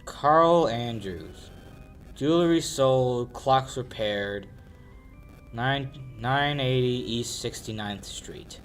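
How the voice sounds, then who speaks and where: A young man acting a gruff, wisecracking detective in a 1940s radio play style, with clipped, wry delivery. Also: mid-range voice, very slow, soft background music. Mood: puzzled, dry. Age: young adult. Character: gruff, wisecracking male private sleuth. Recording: amateur radio play recording.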